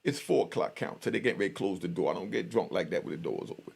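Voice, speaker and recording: Gravelly middle-aged man, streetwise storyteller. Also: low voice, lively intonation, fast. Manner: streetwise, slangy, conversational, checks in with listener. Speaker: YouTube storyteller and commentator. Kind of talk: casual spoken prison storytelling and commentary.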